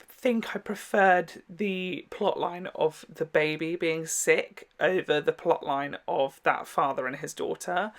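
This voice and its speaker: A man's voice: fairly high pitch, gravelly, a bit bright. A man giving a casual, candid TV reaction, rambling and gushing with some swearing. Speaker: reaction video host. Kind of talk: casual TV episode reaction chat.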